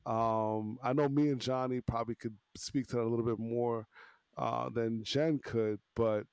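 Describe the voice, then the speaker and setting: A man's voice: medium pitch, fairly even intonation, moderate pace, darkish tone, smooth, no room sound. A man in his thirties from Long Island hosting a casual group chat, talking in loose, repeating phrases and checking in with "you know what I'm saying". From Long Island, New York. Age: 30s. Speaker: podcast host.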